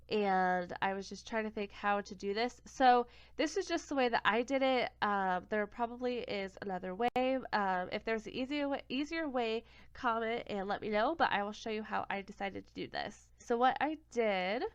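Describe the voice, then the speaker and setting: A young woman with a cold-congested voice talks through a craft software tutorial step by step, sometimes catching and correcting her own slips. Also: a little high, clear, resonant tone, dry recording. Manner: step-by-step, self-correcting, plain and friendly. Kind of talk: screen-recorded software tutorial. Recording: dull, lo-fi home recording.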